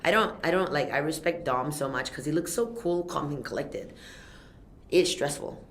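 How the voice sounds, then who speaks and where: A young Thai woman, a fight event announcer, chatting casually in an interview, telling animated stories with frequent laughs. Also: sits low, normal speed, slight background noise. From Thailand.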